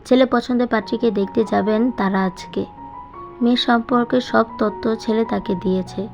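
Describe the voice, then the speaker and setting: A woman's voice: a little high, fairly even intonation, moderate pace, vocal fry. A woman reading a novel aloud as a scripted, dramatic audio story narration. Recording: dull sound with music behind. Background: music.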